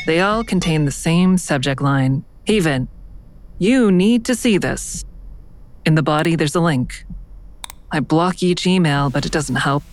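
A troubled, weary woman tells her own story in an audio drama. Her voice sits low, and her delivery is terse and matter-of-fact, with a self-critical edge. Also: measured pace, soft background music. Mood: brooding, matter-of-fact. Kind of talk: first-person audio drama narration, present tense.

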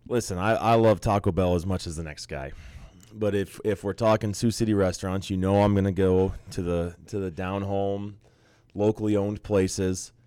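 A man's voice: low voice, normal speed, dry recording, studio quality. A man who coaches college basketball, talking easily and at length in an interview, often restarting his sentences. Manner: relaxed, talkative, frequent restarts. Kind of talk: casual interview chat.

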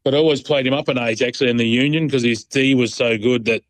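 A man's voice: mid-range voice, fairly even intonation, fast, no room sound. A middle-aged Australian man chatting casually as an interview guest, in a laid-back, rambling, colloquial way. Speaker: interview guest, proud sporting dad. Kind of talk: casual phone-in chat.

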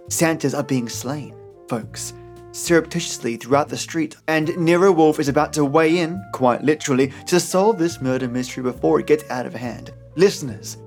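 A man's voice: on the high side, a little mellow. A man hosting a podcast, reading a scripted introduction in a theatrical, playful manner. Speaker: podcast host. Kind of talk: scripted dramatic introduction and listener thanks. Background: music.

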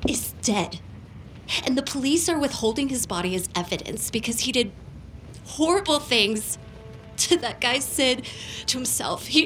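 A high, slow-paced voice carries a shaken young woman in grief. She is halting and emotional, a rich man's daughter in a naturalistic audio drama, with faint music underneath. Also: a bit bright. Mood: distraught. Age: young adult.

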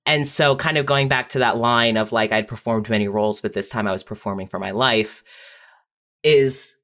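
A young adult speaking casually and candidly, telling personal stories in a halting way with frequent repeated words. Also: medium pitch, a bit bright. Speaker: podcast guest writer.

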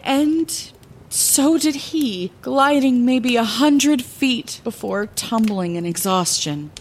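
A woman's voice: a little high, takes it slowly, heavily acted. An actress playing an older woman lighthouse keeper who reminisces in a playful, dramatic, conversational way, like bedtime fantasy storytelling in an audio drama. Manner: expressive, conversational, theatrical.

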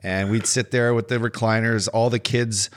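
A man from Canada, a former hockey player, co-hosting a podcast and telling relaxed, upbeat stories full of shout-outs. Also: fairly low pitch, normal speed. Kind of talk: casual chat.

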